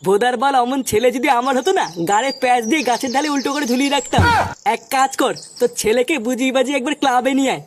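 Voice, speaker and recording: A woman's voice: high-pitched, rapid-fire, background noise, lightly acted. A woman voicing a hot-headed, bullying male club tough in a comic cartoon dub, crude and threatening. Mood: crude, scheming.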